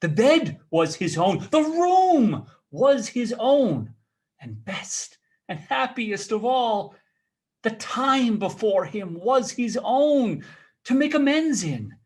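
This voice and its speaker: A male storyteller narrates literary prose in a theatrical style. His delivery is unhurried, with dramatic pauses between phrases. His pitch swings widely, giving the reading a very expressive feel.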